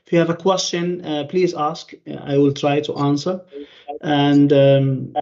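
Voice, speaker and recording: Guy presenting at a conference over a call, higher voice, lots of uhs and restarts, muffled dull audio. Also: flat delivery, darkish tone. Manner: halting, many fillers and restarts.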